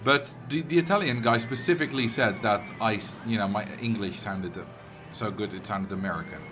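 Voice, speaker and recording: A man's voice: on the low side, brisk. A man with a Dutch accent chatting casually with viewers on a livestream while he plays a game. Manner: chatty, rambling, answers viewers' messages. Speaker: streamer. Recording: game music playing behind.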